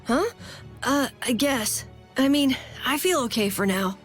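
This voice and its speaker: A woman's voice: high-pitched, measured pace, soft background music. A woman voicing an eager, earnest and slightly sheepish young boy adventurer in a video game. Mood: unsure.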